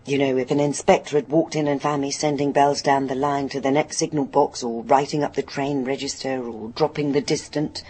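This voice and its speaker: An actress playing a middle-aged woman in a radio drama, wistfully and patiently recalling her girlhood in a husky voice. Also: very deep voice, steady tone, brisk, hardly any pauses, dark tone, slight background noise. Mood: explanatory. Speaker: narrating witness in a radio play. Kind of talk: reminiscing, naturalistic radio drama acting.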